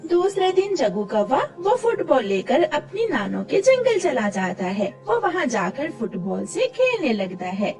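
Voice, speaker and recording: A woman's voice: high voice, very animated, a little slow, hardly any pauses, a little mellow, recorded from a distance, faint music behind. A woman reading scripted narration for a children's cartoon story.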